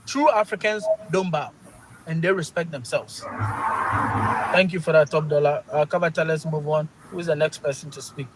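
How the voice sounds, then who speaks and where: A man hosting a live online panel, talking forcefully and repeating his points as he admonishes the audience. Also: fairly high pitch, normal speed, very noisy. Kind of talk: assertive moderating, lecturing.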